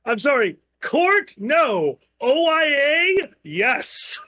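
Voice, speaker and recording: Fast-talking Chicago man, high bright voice. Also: very animated, dry recording, lo-fi sound. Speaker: comedy panel host. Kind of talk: live comedy panel.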